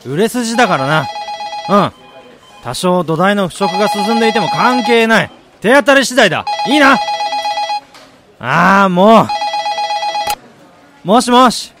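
A man acting in a radio drama as a driven businessman and husband, telling his life story in a measured, reflective way. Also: high voice, animated. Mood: brusque, urgent. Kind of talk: radio drama monologue, literary narration. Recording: music bed behind the voice.

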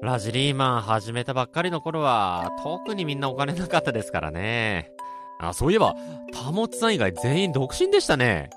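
A man's voice: medium pitch, very animated, brisk, over music, lightly acted. A man playing a polite, easygoing junior office worker among friends in a Japanese radio drama.

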